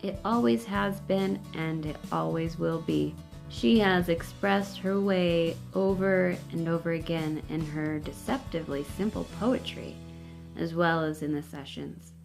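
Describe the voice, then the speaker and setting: A middle-aged woman narrates a book with a notably creaky voice. She reads very slowly and deliberately, on the lower side in pitch. Faint music plays behind her. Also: darkish tone. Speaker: reader of a book for online videos. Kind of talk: unhurried read-aloud narration of a book. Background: faint background music.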